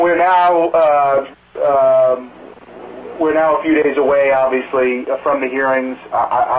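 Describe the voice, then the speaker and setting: A man speaking as a campaign spokesman, answering press questions in a careful, measured, halting way over a telephone conference call. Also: mid-range voice. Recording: noisy tinny phone line.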